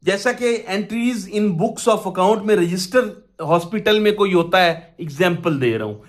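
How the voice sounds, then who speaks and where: A man's voice: sits high, measured pace. A man with a Pakistani accent giving an explanatory law lecture, methodically reading out clauses, repeating key phrases and answering his own questions. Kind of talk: explanatory lesson, reading statute clauses aloud and explaining them.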